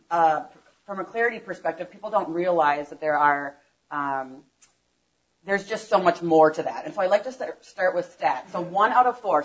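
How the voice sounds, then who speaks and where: Woman lecturer, loud and chatty, lowish voice, dull lo-fi conference recording. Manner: loud, chatty, self-correcting. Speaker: optometrist lecturer. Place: conference room. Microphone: handheld microphone.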